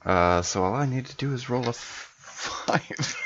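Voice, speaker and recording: A man's voice: mid-range voice, lively intonation, unhurried, highs cut off, noisy background. A man chatting casually as a player in a tabletop role-playing game.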